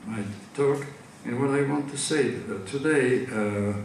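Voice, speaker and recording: A man's voice: mid-range voice, a little slow, far from the mic, slight background noise. Georgian-accented man lecturing in an echoey hall. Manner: hesitant, many fillers and restarts. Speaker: conference speaker. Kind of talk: academic lecture. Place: large conference hall.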